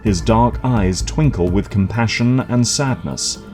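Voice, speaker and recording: A male narrator with a low, dark, mellow voice. He reads the scenes in a measured, descriptive way. Faint music plays beneath him. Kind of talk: scripted narration.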